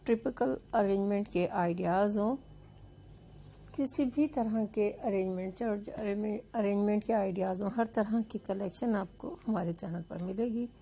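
A woman presents a slideshow in a chatty way, talking to her viewers like friends. Her voice is mellow and rather breathy, at a middling pitch. She tends to circle back and repeat her phrases as she goes. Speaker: video channel host.

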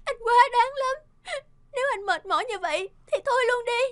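High plaintive young wife. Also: female voice, fairly quick, fairly bright tone, dry recording. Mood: tearful, upset. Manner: plaintive, reproachful. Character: hurt, reproachful pregnant young wife. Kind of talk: cartoon dubbing.

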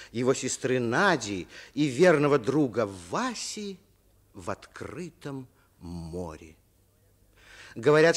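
A man narrating a children's radio play in a scripted storybook style. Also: mid-range voice.

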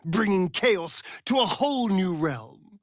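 An actor playing a sardonic, flamboyant, seductive vampire rogue in a fantasy video game, with an arch, theatrical drawl. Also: male voice, high-pitched, expressive, measured pace, no echo, studio quality. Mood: sly.

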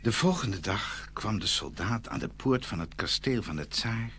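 A male storyteller narrates a fairy tale. His voice is low and lively, with a bright, forceful edge and dramatic delivery. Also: moderate pace, slight background noise.

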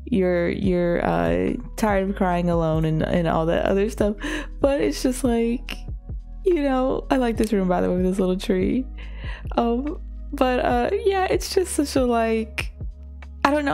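A hoarse woman chatting casually as she pauses and reacts to a music video, full of fillers and giggles. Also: mid-range voice, dark tone. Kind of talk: casual commentary. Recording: faint music behind.

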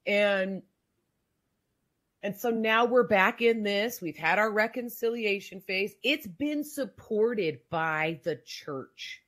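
A woman with a very low voice telling her personal story in a podcast conversation, reflective and halting with many restarts. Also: a bit bright. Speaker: guest sharing her story.

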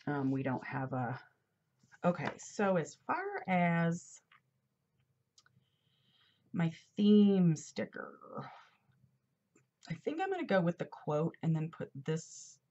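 A low-voiced, middle-aged woman talks slowly and chattily with a gravelly, husky edge, sounding a little congested. Her voice comes through a somewhat dull, lo-fi recording. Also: expressive, a little mellow. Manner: chatty, rambling, with fillers and asides. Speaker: planner vlogger. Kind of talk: casual chat while decorating a planner. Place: at a desk indoors. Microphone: overhead camera.

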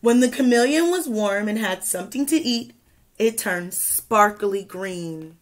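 Unhurried young woman reading to children. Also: medium pitch. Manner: expressive, slow reading with questions and asides to young listeners. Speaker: teacher reading aloud to children. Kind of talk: interactive children's picture book read-aloud. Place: small indoor room with classroom wall charts.

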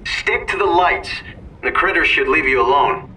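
Distant radio voice, a wary game station operator, really sharp tone, clipped and guarded. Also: male voice, on the high side, animated, moderate pace. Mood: guarded advice. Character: wary man at an isolated undersea station. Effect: radio transmission filter. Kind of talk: video game radio dialogue. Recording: distant, faintly noisy radio link.

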